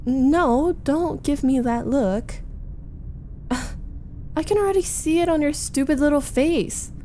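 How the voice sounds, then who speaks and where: A woman voicing a flustered, needy young roommate in a chatty, halting, defensive roleplay audio, confiding as she vents. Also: sits high, dark tone, a little hiss or hum. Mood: teasing, defensive. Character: flustered, needy young female roommate venting about a date. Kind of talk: roleplay ASMR audio acting.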